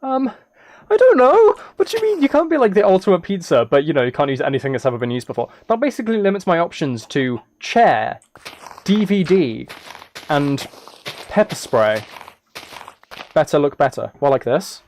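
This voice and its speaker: A man chatting casually on a gaming livestream, rambling haltingly with playful, mock-serious dry humour. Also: a little high, very animated, darkish tone. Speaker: live streamer.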